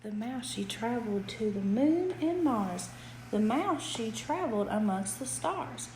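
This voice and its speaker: A woman reading a rhyming bedtime storybook aloud to a child in an expressive, rhythmic way. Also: on the high side, measured pace, a little hiss or hum, low-quality recording. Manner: rhythmic, animated read-aloud with emphatic questions.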